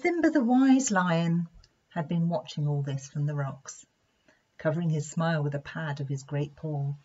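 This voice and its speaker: A woman's voice: low voice, lively intonation, moderate pace, warm tone. A woman narrating a children's story expressively, in a slightly dull recording. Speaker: storybook reader, play therapist.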